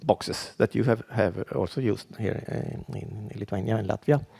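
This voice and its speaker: A Swedish man with a Swedish accent, giving a conference presentation in English as a second language, halting and full of restarts. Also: lowish voice, creaky, warm tone, breathy edge. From Sweden. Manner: halting, with frequent restarts and fillers. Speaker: conference speaker.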